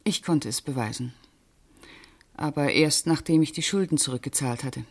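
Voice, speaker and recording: An actress playing a self-controlled bank official in a radio play, answering precisely and guardedly in short, restrained phrases. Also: female voice, low voice, moderate pace, slightly rough. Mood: controlled, terse. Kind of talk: German radio play acting.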